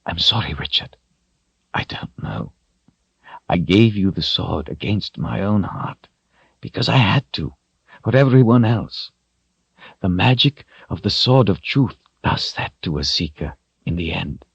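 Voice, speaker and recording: A gentle old wizard, as a male narrator puts him on, soft-spoken and unhurried, often dropping to a near whisper. His tone stays patient and steady. It is a dull, dry recording. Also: sits low, gravelly, husky, dry recording, voice pushed up, full character voice. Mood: somber.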